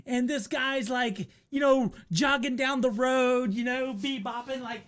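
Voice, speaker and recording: High-pitched American man telling rambling stories. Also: measured pace. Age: middle-aged. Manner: profane, animated, acts out his remarks. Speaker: storyteller, host. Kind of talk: casual rambling comic storytelling.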